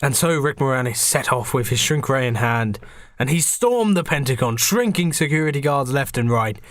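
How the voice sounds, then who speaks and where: A man's voice: medium pitch, lively intonation, moderate pace, dry recording, clean studio sound. A man narrating a comic radio play on a podcast in a mock-dramatic storytelling manner.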